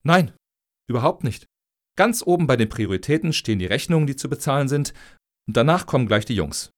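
A man's voice: mid-range voice, lively intonation, a little fast, close to an everyday voice. A man acting in a radio satire as a blunt, cynical grant applicant with a dry, sarcastic manner. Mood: blunt, defiant.

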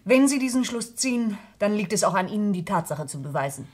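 A woman's voice: mid-range voice, lively intonation, fairly quick, darkish tone, natural voice. An actress playing a proud, guarded young woman under questioning, answering in a terse, formal way in a vintage German radio crime drama. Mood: defiant.

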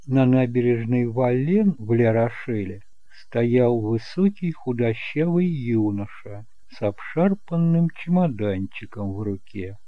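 Male narrator for scripted fiction: slow measured reading, mellow tone, dry close sound. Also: mid-range voice, animated, no room sound, muffled recording. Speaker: audiobook narrator.

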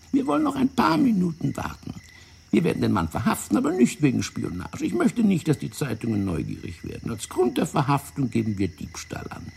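Husky, wildly animated spymaster. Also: male voice, on the high side, heavily acted. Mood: brisk, commanding. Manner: theatrical, condescending, drawn-out explaining. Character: fat, wily, self-righteous male French intelligence officer. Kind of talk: German radio drama acting.

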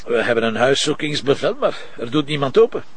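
An actor playing an informal, wry Flemish police commissioner in a naturalistic drama. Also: male voice, mid-range voice, sing-song, fairly quick, husky. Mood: businesslike.

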